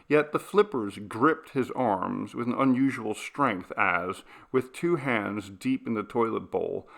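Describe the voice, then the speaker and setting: Gravelly man narrating a horror story. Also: on the low side, sharp tone. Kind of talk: dramatic audiobook narration.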